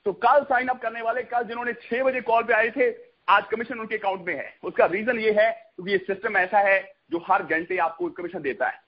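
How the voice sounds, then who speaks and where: A man with a husky, rough-edged voice giving a repetitive sales pitch on a conference call, dropping English catchphrases into his talk. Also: fairly even intonation, moderate pace. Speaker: network marketing promoter hosting a conference call. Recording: dull lo-fi conference call audio.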